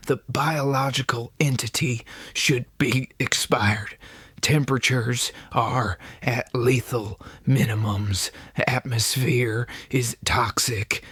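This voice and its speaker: A man voicing a cold crystalline alien in an audiobook, breathy and whispery, with halting, clipped, analytical phrasing. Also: medium pitch, fairly bright tone, no room sound, studio quality, voice pushed up. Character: cold crystalline alien commander and his second-in-command. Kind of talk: audiobook character voice.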